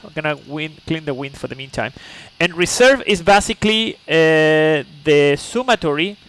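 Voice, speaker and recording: Man explaining things in a higher voice. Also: fairly bright tone, smooth, rough recording. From Chile. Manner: patient and instructive, checks in often with "okay?"; chatty asides to viewers. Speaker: flight sim streamer. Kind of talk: explaining steps while streaming live.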